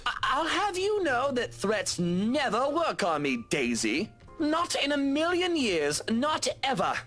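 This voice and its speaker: A man's voice: very high-pitched, huge pitch swings, a little slow, fairly bright tone, faint music behind, heavily acted. A man playing a hot-tempered, easily flustered man in a visual novel game, blustering and indignant. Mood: flustered, defiant.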